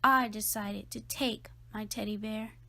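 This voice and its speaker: A woman narrating a children's storybook aloud in a deliberate, expressive read-aloud style. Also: medium pitch, gravelly. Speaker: storybook reader.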